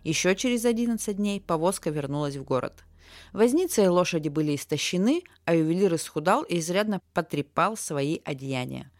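Woman narrating a fairy tale, lower voice. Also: expressive, brisk, darkish tone, no echo. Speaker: storyteller, podcast host.

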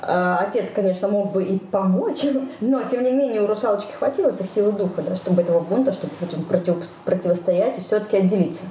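A woman co-hosting a podcast, talking casually in a reflective, halting manner with some hedging. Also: medium pitch, mellow. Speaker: podcast co-host. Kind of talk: casual conversational discussion. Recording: echoey room with faint noise.

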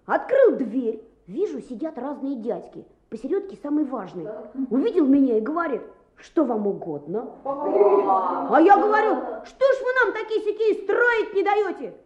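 A high, very lively schoolboy, cheeky and eager, spinning boastful tales. It is an old radio play with a muffled, roomy sound. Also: female voice, moderate pace. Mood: boastful, excited. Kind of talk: Soviet radio play acting.

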